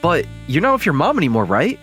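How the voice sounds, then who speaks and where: A man voicing an awkward, kind, earnest young man in a manga dub, with expressive delivery. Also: on the high side, fast talker, soft background music. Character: awkward, kind young man self-conscious about his looks. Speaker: voice actor. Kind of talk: manga dub dialogue and narration.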